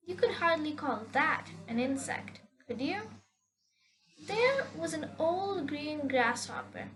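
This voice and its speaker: A woman reading a children's story aloud with expressive, deliberate, theatrical phrasing. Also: high-pitched, slight background noise, rough recording.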